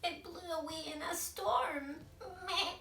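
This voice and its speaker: A woman's voice: very high voice, steady tone, slow, creaky, pushed and bright, roomy sound, distant mic, a little hiss or hum, pitched above the performer's own voice, heavily acted. A woman voicing a forlorn storybook goat who talks plaintively and bleats between phrases.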